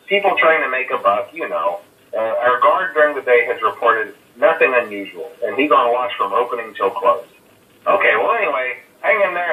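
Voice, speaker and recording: A man's voice: mid-range voice, distant mic, close to an everyday voice. A man playing a hesitant phone caller who leaves rambling, reassuring messages full of "uh" fillers. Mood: easygoing. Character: nervous male night-guard phone caller. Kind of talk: recorded game voice lines. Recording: muffled echoey phone-message recording.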